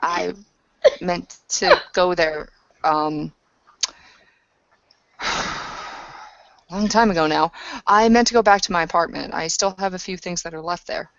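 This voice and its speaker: Woman, low register, improvised roleplay storyteller, halting delivery with pauses, slightly muffled lo-fi sound. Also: creaky, a bit bright, dry recording. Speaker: game master and player narrating a tabletop roleplaying session. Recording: dull lo-fi audio.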